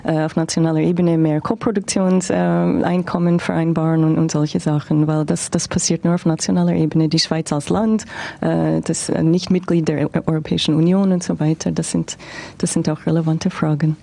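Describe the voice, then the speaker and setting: A woman speaking German as a second language, giving measured interview answers with frequent fillers. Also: low voice, flat delivery, vocal fry, mellow. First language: not German. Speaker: interview guest, film observer.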